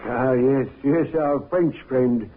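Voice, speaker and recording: Crisp-mannered inspector, tinny radio. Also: male voice, medium pitch, takes it slowly. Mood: dry, official. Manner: formal, probing, dryly courteous. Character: brisk, dry, authoritative male police inspector. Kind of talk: 1950s radio drama acting. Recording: tinny, noisy 1950s radio recording.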